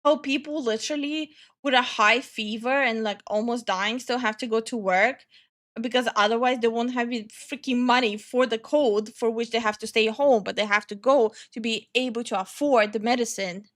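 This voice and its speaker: A woman's voice: on the high side, fairly even intonation, moderate pace, a bit bright. A woman from Estonia hosting a casual live stream, ranting heatedly, swearing often, and greeting viewers in chat. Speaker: streamer and channel host.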